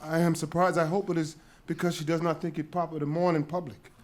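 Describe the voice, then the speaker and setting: An actor playing a grave, shaken messenger in a dramatic reading of a Greek tragedy, telling terrible news in a measured, emphatic way. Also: male voice, a little high, level tone, a little hiss or hum, natural voice. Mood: somber.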